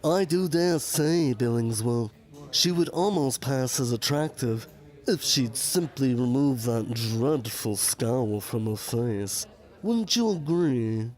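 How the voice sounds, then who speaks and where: Man playing a slow, smug Gothic snob. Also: medium pitch, expressive, a little mellow, low-quality recording. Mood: smug mockery. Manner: mannered, haughty. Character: smug, sneering male medical student, pompous snob. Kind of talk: Gothic audio drama acting.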